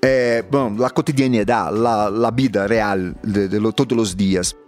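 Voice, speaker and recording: Halting Brazilian man speaking Spanish. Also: on the low side, a little mellow, soft background music. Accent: Brazilian Portuguese. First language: Portuguese. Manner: halting, searching for words, passionate. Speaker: activist guest. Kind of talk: interview answers.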